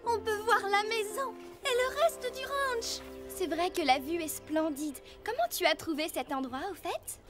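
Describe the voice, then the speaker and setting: Very high-pitched cartoon girl. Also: a little slow, loud music behind the voice. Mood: curious, delighted. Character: spirited young girl at a riding ranch. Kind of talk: animated children's series dub.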